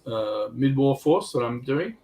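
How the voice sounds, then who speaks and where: A man's voice: mid-range voice, unhurried. A man chatting casually as a contributor on a hobby livestream. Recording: steady faint background noise.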